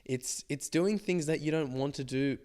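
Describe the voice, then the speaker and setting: A man in his twenties co-hosting a podcast with a slightly croaky voice, reflecting at length in casual chat. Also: fairly high pitch, normal speed, a little mellow. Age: 20s. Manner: reflective, explains ideas at length. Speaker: podcast co-host.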